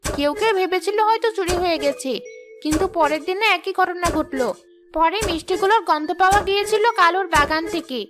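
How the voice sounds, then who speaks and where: A chatty village neighbour in a cartoon, superstitious and fond of gossip. Her voice is extremely high, and music plays clearly behind her. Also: fairly quick. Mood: ominous, gossipy. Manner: chatty, storytelling, earnest. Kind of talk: cartoon voice acting.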